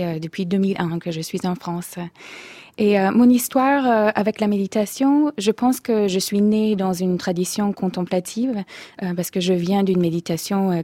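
Woman, low mellow voice. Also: creaky, dry recording. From Minnesota, United States. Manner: hesitant, many fillers. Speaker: guest, author. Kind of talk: radio interview, personal story. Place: radio studio.

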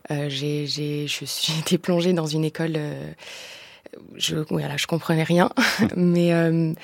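A woman's voice: deep voice, fairly even intonation, measured pace, vocal fry, no room sound. A woman giving a casual, personal answer as an interview guest, hesitant and often repeating her words as she searches. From Greek and New Zealand family, in France from age six or seven. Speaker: guest, stage actor.

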